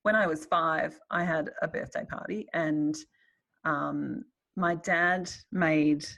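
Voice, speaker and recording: A middle-aged Australian woman with a slightly low voice. She talks candidly and casually, with a wry, self-deprecating streak, as one writer chatting to another. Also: steady tone, slow pace, creaky, fairly bright tone. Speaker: writer in conversation.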